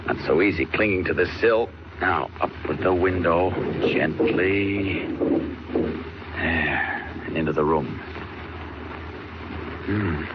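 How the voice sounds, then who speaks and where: An actor playing a stern, resolute superhero in 1940s radio style, commanding in manner, on a noisy old recording. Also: male voice, low-pitched, slow, long pauses, lo-fi sound. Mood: stealthy, intent. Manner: commanding, talks himself through his actions.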